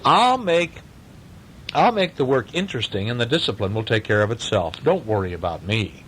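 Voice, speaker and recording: A man voicing a small, confident mouse gentleman in a storybook, speaking in an agreeable, formal manner. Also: medium pitch, big pitch swings, normal speed, a little hiss or hum, poor audio.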